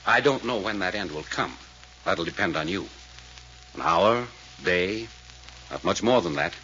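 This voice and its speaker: Adventurer detective, authoritative, clipped, animated pitch, old radio drama, dull noisy transcription. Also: male voice, unhurried, heavily acted. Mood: menacing. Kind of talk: 1940s-50s radio drama acting.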